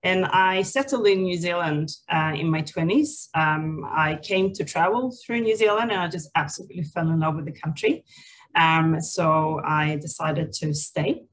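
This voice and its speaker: A Swedish woman in her forties, a native Swedish speaker, talks as a guest on a video call. Her voice sits a little low. She tells personal stories in a reflective, halting, conversational way. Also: slight background noise, poor audio. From Sweden. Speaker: interview guest, people and culture leader.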